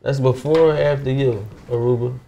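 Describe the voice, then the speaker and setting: A man's voice: medium pitch, steady tone, moderate pace, dark tone. A man, chatting casually in slangy, informal speech as a podcast host. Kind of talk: casual chat interview. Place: home kitchen, indoors. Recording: clear sizzling kitchen noise behind. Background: frying and sizzling food.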